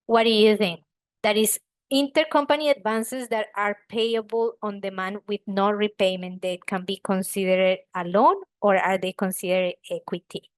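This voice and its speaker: A woman's voice: medium pitch, steady tone, normal speed, clean tone, no echo. A woman presenting a professional webinar in an explanatory, emphatic way, like a lecturer walking listeners through points. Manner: explanatory, emphatic, poses questions then answers them.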